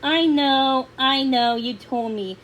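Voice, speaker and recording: A boastful storybook frog, acted out by a woman, high in pitch and unhurried, with a haughty manner that turns dramatic and excitable. There is background noise on the recording. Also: highs cut off. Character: John Bob, boastful, excitable male frog.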